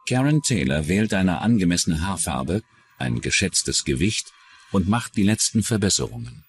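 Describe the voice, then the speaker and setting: A man's voice: sits low, very animated, normal speed, faint music behind. A man narrating a scripted true-crime documentary.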